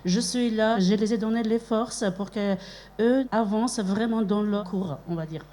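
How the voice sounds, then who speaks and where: A woman in her forties who is not a native French speaker, speaking in a halting, earnest way as a parent at a school event. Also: mid-range voice, warm tone, slight background noise. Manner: halting, searching for words, earnest.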